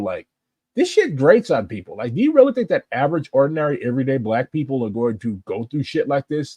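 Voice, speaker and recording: A man's voice: medium pitch, darkish tone, slightly rough, no room sound. A man with a St. giving blunt, impassioned political commentary. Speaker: political activist.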